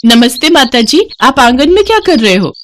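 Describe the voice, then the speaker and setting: A woman's voice: high voice, very animated, normal speed, background noise, pitched above the performer's own voice. A woman voicing a meek, respectful, hardworking housemaid in a moral story cartoon. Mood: deferential. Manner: respectful, deferential.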